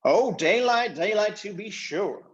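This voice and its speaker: Man putting on a rambling rustic invisible chief, lively. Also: high-pitched, a little slow, highs cut off, pitched above the performer's own voice. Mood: blustering. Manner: rambling, folksy, nonstandard grammar. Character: invisible blustering rustic chief, long-winded and folksy.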